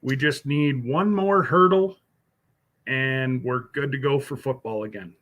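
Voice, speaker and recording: Slow, rambling man chatting with viewers. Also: mid-range voice, a bit bright, muffled recording. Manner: rambling, halting, many fillers and restarts; addresses viewers by name. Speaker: livestream host. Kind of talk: casual chat answering live viewers.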